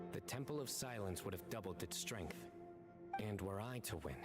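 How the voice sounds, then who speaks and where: An actor playing a stern but sincere young officer in a video game, speaking in a measured, formal way. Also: male voice, low voice, natural voice. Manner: measured, formal, deliberate delivery. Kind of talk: scripted video game dialogue. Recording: loud game music behind. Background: game soundtrack music.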